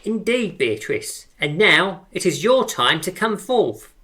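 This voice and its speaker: Bossy pretentious poetry club woman, lowish bright voice, lively swoops, radio play. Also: takes it slowly, heavily acted. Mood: grandly encouraging. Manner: grandly articulate, effusive, theatrical. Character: brisk, pretentious leader of an amateur poetry circle. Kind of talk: radio drama acting.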